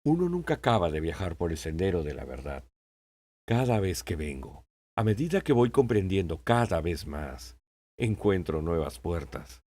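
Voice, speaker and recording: A man acting a deep-voiced wise old king. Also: sing-song, moderate pace, darkish tone. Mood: kindly, resolute. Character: wise, patient old king, gentle mentor. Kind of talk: audiobook character acting.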